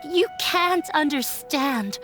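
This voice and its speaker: Young daughter, defiant, earnest, firm, high voice, video game, clear music behind. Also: female voice, expressive, slow pace, fairly bright tone, exaggerated voice. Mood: pleading.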